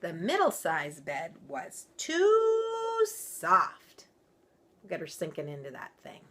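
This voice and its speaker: A woman's voice: fairly low pitch, huge pitch swings, takes it slowly, a bit bright. A woman reading a children's story aloud expressively, with informal, playful asides.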